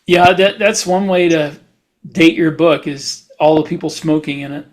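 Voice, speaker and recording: A middle-aged man co-hosting a podcast in casual chat, halting as he thinks aloud. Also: fairly high pitch. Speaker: podcast co-host, science fiction author.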